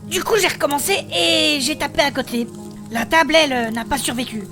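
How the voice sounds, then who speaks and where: A woman playing a spirited female blacksmith adventurer, recounting her past in animated, colloquial in a fantasy audio drama. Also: high-pitched, a little fast, music in the background. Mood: lively storytelling. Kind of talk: French fantasy audio fiction acting.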